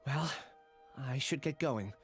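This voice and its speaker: A gentle young outcast in an anime game. He sounds wistful and earnest at a medium pitch, over quiet background music. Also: slow. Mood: resigned.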